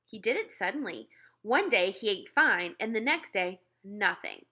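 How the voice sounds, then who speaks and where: A woman reads a children's novel aloud. Her voice is bright and forward, at an average pitch, and her narration is expressive. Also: moderate pace.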